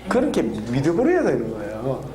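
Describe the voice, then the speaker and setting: A man preaching a lively sermon, laughing often and drawing call-and-response answers from the congregation with frequent questions. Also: mid-range voice, a little fast. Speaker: pastor. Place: church hall with congregation.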